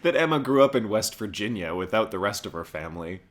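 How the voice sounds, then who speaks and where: Sarcastic male commentator with animated pitch. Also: fast. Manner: mocking, wisecracking. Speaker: comedic reviewer narrating an episode summary. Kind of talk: sarcastic humorous scripted commentary. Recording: music behind the voice. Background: music.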